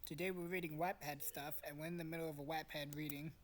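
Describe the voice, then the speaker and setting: Low-pitched, blunt woman narrating. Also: fairly even intonation, fast talker. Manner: blunt, sweary, bossy. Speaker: host and narrator. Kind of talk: casual amateur story reading with banter.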